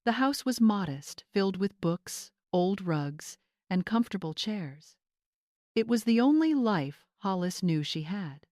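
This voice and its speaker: A woman's voice: medium pitch, expressive, measured pace, a little mellow, no room sound, clean studio sound. A woman reading scripted, dramatic story narration as a storyteller.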